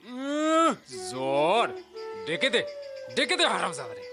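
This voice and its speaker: Casting: imperious Bengali village priest, high swooping voice, sarcastic bluster, audio drama with music. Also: male voice, huge pitch swings, full character voice. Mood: angry scolding. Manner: blustering, sarcastic, drawn-out calls and exclamations. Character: haughty, scolding Brahmin priest, bullying and mocking villager. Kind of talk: audio story drama acting.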